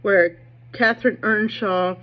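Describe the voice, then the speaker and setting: A woman's voice: medium pitch, steady tone. Muffled recording of an emphatic, opinionated woman. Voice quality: speech impediment, slows down on hard sh sounds. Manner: emphatic, digressive, conversational, asking "right?" and "okay?". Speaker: book commentator on own video channel. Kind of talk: casual, opinionated literary commentary.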